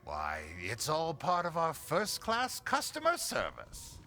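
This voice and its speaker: An actor playing a courteous, theatrical merchant in a video game, speaking formally and ingratiatingly. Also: male voice, a little high, wildly swooping pitch, slow pace, bright, forceful tone, soft background music, full character voice. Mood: suave, obliging. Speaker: shopkeeper. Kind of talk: video game character acting.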